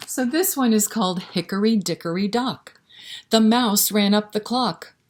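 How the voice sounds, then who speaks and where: A woman's voice: medium pitch, a little slow, darkish tone. A woman leading a storytime for young children, reciting nursery rhymes and talking to them directly in an encouraging, interactive way. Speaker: children's library storytime reader.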